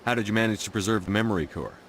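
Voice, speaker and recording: An actor playing a calm, direct human military commander in a video game. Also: male voice, on the low side, racing, slight background noise, close to an everyday voice. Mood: steady.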